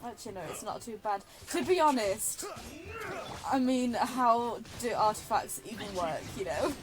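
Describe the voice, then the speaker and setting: A woman commenting casually as she plays a game. Her voice is high and swings widely in pitch. She is chatty, quick to react and full of wry asides. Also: lively intonation, music in the background, poor audio. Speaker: gaming video host reacting while playing.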